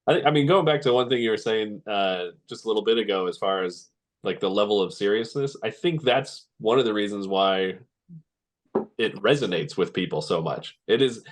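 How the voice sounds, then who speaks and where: Low-voiced man, casual podcast co-host. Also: moderate pace. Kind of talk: casual conversational commentary.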